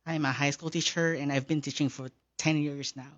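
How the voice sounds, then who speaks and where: Guy from the philippines, kinda high voice, lots of ums, dull radio sound. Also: steady tone, fairly bright tone. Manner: halting, many fillers. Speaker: high school English teacher. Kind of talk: radio interview guest.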